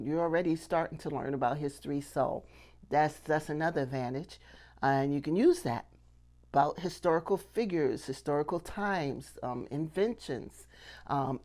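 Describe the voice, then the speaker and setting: A woman's voice: low-pitched, darkish tone. A middle-aged woman giving an instructional talk to camera as a teacher, emphatic and repeating key phrases for stress. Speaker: puppetry teacher and video host.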